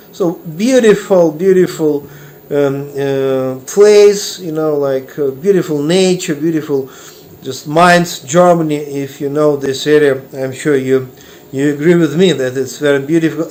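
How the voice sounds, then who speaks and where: A man with a Russian accent telling a personal story slowly, with many pauses and fillers. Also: a little high. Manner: unhurried, halting, many fillers and restarts. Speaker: meditation teacher and artist.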